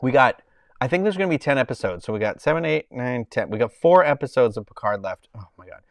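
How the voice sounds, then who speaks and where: A man's voice: medium pitch, animated, quick pace, dry recording. A man with a Boston accent, hosting a casual livestream from home and chatting in a rambling way while he reads the chat. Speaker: livestream host.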